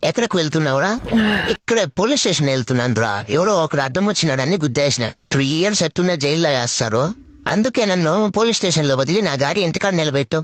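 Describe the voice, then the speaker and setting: A man voicing brash young slacker friends in a Telugu cartoon comedy skit, bantering in crude, excitable slang. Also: on the high side, racing, lightly acted. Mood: bickering.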